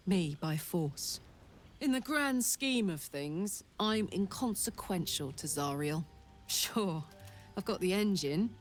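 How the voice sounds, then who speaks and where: Weary, wry runaway hell soldier. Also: female voice, lowish voice. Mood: rueful. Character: weary, wry escaped soldier of a devil's army. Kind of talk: video game dialogue acting.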